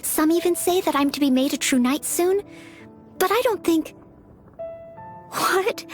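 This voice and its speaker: A woman voicing a polite, self-effacing young maid and aspiring knight in a video game, eager to please. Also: very high voice. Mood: humble, grateful, then touched. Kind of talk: video game character voice lines.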